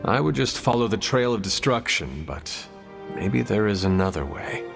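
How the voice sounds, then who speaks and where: An actor playing a solemn ancient warrior hero in a video game, speaking in a measured, formal, earnest way. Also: male voice, fairly low pitch, sing-song, gravelly, music in the background. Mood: grave. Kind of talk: video game dialogue acting.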